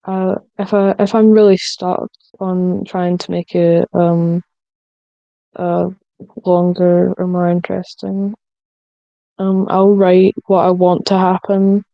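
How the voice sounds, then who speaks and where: A woman speaking hesitantly and haltingly as a writer on a casual podcast panel. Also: fairly low pitch, flat delivery, vocal fry, clean tone, no room sound, lo-fi sound.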